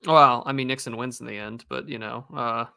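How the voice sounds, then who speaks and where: Young man, bright crisp voice, halting casual talk, dry close mic. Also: medium pitch, steady tone, no echo. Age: young adult. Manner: hesitant, many fillers and restarts. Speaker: podcast host and streamer. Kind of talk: casual chat.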